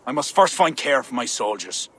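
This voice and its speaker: A man's voice: mid-range voice, very animated, normal speed, sharp tone. A man playing a stern, suspicious king in a video game, imperious and dramatic in his delivery. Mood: suspicious, brooding.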